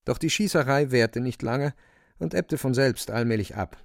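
A man's voice: mid-range voice, normal speed, creaky, no room sound, clean studio sound, natural voice. A man narrating a literary radio play as a wry, vivid storyteller with dramatic delivery.

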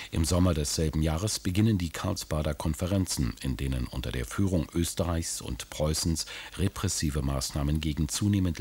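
Very deep husky male narrator. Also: darkish tone. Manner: measured, documentary. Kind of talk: scripted historical radio narration.

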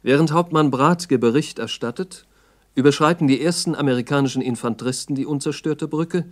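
A man's voice: a little high, a little fast. A man narrating a 1960s documentary radio drama in a terse, factual reporting manner.

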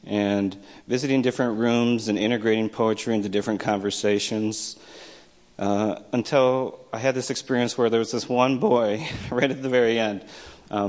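A man's voice: fairly low pitch, darkish tone. An American man telling a personal story to listeners in a wry, self-deprecating manner. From small town in the United States. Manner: wry, self-deprecating, acts out remembered dialogue. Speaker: storyteller, poet and teacher.

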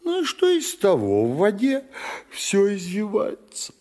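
Sleepy, fretful woman acted by a man for audio theatre: lifted, wildly swooping pitch, whiny exclamations. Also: wildly swooping pitch, measured pace, warm tone, big-room sound, exaggerated voice. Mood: sleepy, irritated. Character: sleepy, irritable, then terrified woman in bed. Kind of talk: theatrical poetry monologue acting.

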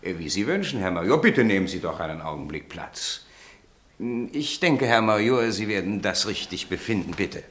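An actor in a German radio play playing an unruffled, courteous gentleman suspect, mild and ironically obliging. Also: low voice, very animated, normal speed.

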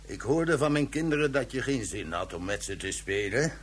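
A man's voice: mid-range voice, sing-song, normal speed, a little hiss or hum, natural voice. An actor playing a pompous, long-winded male official who gives solemn, ornate speeches in a radio play. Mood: kindly. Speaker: dignitary giving a ceremonial speech.

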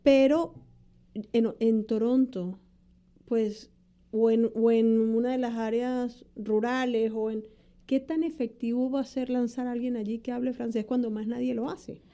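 A woman's voice: on the high side, steady tone, a little slow, warm tone. A middle-aged woman, an immigration lawyer co-hosting a podcast, speaking frankly and casually and often finishing her partner's sentences. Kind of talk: casual conversational chat.

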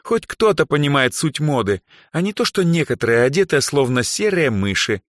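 A man voicing a bubbly, boastful young female dark elf in an audiobook, with an excitable, teasing, exclamatory way of talking. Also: on the high side, moderate pace, no echo, clean studio sound, voice pushed up. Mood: breezy, teasing.

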